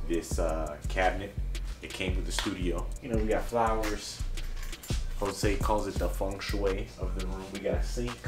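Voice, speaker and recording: A low-pitched man in his thirties talks loosely and slangily as he walks through a small indoor studio. His voice sits a little away from the handheld camera mic and bounces off the bare walls. Music plays clearly behind him. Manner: laid-back slangy chatter, animated shifts in pitch and loudness. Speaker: barber giving a studio tour. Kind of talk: casual vlog walkthrough. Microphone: handheld camera mic, moving around the room. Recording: roomy echo, somewhat far from mic, music behind.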